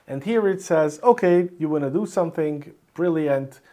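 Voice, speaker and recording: A man's voice: a little high, normal speed, dark tone. A man whose first language is Hungarian gives an informal screencast programming tutorial, thinking aloud as he types. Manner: thinks aloud while typing. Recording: clear background noise, keyboard clicks. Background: computer keyboard typing and mouse clicks.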